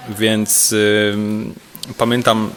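A man's voice: on the low side, monotone, very slow, a bit bright. A young man, a drama school student, introducing himself hesitantly with fillers. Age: young adult. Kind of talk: self-introduction. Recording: long reverb in a hall.

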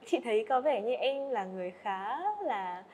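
A woman hosts a podcast interview, asking questions and murmuring agreement attentively. Her voice is somewhat high. Faint background hiss sits under her words. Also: normal speed, darkish tone. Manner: attentive, frequent back-channel agreement. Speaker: podcast host. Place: studio set, indoors.

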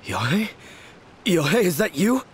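A man's voice: high-pitched, big pitch swings, unhurried, slight background noise. A man voicing a timid, earnest and self-doubting young swordsman in a video game. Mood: weak, shaken.

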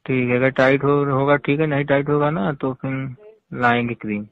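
A man's voice: medium pitch, steady tone, moderate pace, dry recording. A man on a phone call, talking casually and insistently, often pressing with repeated questions. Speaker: phone caller. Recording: tinny phone-line call recording.

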